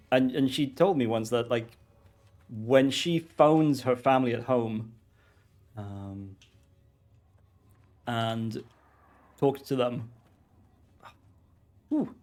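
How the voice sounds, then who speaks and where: A man's voice: mid-range voice, very animated, extremely slow, long pauses, a little mellow. Swedish man chatting with lots of ums. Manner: rambling, hesitant, many ums and restarts. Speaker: streamer and teacher. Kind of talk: casual chat while streaming a game.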